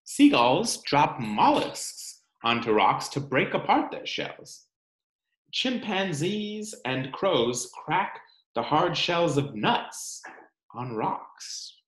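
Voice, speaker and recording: A man reads a picture book aloud for children at an unhurried pace. His delivery is expressive and teacherly, with pitch that moves up and down a lot. The sound is roomy, like a webcam in a home. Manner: expressive, deliberate, teacherly with questions to listeners. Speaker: children's read-aloud presenter. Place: indoor room at home.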